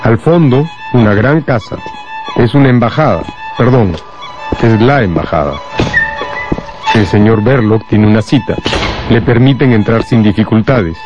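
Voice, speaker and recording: Low guy narrating a radio novel, bit muffled, violin music behind. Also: expressive, measured pace. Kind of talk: scripted radio drama narration. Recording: dull band-limited sound, music underneath.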